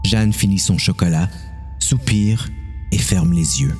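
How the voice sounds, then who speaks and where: A man's voice: low voice, a little slow, darkish tone, faint music behind. A man with a Quebec French accent narrating a scripted, dramatised story.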